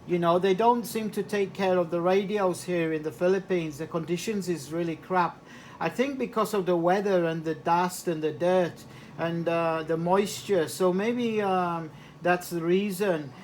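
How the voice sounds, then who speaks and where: A man's voice: high voice, a little slow. Guy on ham radio, casual hesitant chat, repeats himself, bit of static. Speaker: amateur radio operator. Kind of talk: casual two-way radio chat with operator jargon. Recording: slightly noisy two-way radio transmission.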